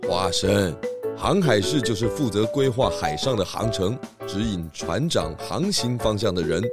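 A man playing a calm, wise pig detective who explains things patiently in a children's audio drama. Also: fairly low pitch, normal speed, over loud music, close to an everyday voice. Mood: kindly instructing.

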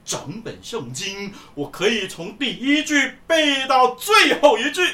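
Smug pompous longtime believer, voiced by a woman, slow swooping emphasis, radio drama, roomy distant sound. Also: fairly high pitch, lively intonation, pushed and bright. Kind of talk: scripted Mandarin radio drama acting. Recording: roomy echo, distant from microphone.

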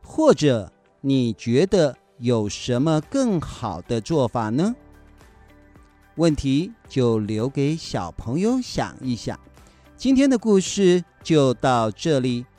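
A man's voice: mid-range voice, very animated, slow, mellow, faint music behind. A man presenting the close of a children's radio story, putting scripted questions to young listeners. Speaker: presenter giving the closing message.

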